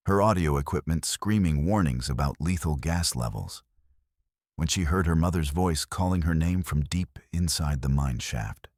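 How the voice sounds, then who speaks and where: A man tells scripted horror stories with an extremely low, rumbling voice. His delivery is measured and ominous, and the recording is dry, with no room sound. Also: no echo. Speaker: narrator.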